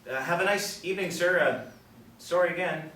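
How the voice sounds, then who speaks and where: A man's voice: sits high, moderate pace, sharp tone. Man reading as flustered student, very echoey room. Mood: flustered, apologetic. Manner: hesitant, stammering. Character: flustered, apologetic young male university student. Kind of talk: script table read. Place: small indoor room, group table reading. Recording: echoey room, distant mic, faint noise.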